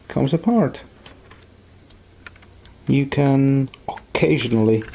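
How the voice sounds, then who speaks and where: A man giving a careful, step-by-step hands-on repair tutorial in halting phrases. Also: fairly low pitch, creaky, mellow, slight background noise.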